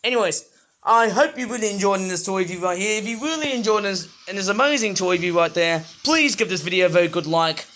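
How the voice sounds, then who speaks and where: A man reviewing toys in a rambling, playful vlog, his voice not fully well and breaking into sudden excited outbursts. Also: high voice, fast. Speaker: toy reviewer. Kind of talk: casual toy review vlog.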